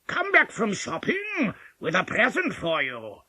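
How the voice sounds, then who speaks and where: A woman's voice: mid-range voice, wildly swooping pitch, unhurried, bright, forceful tone, slightly rough, no echo, pitched above the performer's own voice, exaggerated voice. A woman voicing a scheming, gullible male wolf from a storybook, harsh, emphatic and easily exasperated. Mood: cross, impatient. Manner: blustering, plaintive, emphatic.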